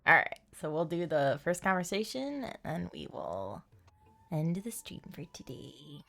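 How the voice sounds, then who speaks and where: A woman game streamer chatting casually on a livestream in an animated, exclamatory way. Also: low-pitched, unhurried, creaky. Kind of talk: casual livestream chat, reading game dialogue aloud.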